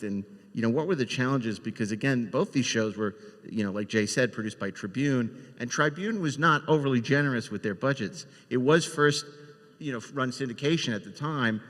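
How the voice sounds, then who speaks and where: A man's voice: mid-range voice, a bit bright. A man hosting a panel, interviewing guests in a conversational way. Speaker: panel host, moderator. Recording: echoey hall with long reverb.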